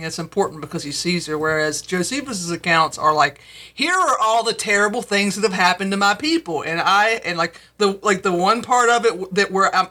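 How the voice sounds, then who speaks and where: A man's voice: high voice, pushed and bright. A man in a casual podcast discussion, making his point in short, emphatic phrases. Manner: emphatic, punchy declarative phrases.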